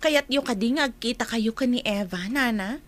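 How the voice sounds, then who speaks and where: An actress with an Ilocano accent playing a concerned young daughter in a radio drama. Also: female voice, a little high, normal speed, close to an everyday voice.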